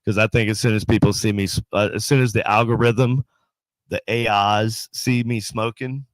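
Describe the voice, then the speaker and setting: A man's voice: on the low side, normal speed, no room sound, poor audio. Nasal, congested man rambling on a podcast. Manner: rambling, joking, animated shout-outs to viewers. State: congested from a sinus cold. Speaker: podcast co-host. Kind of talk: casual chat.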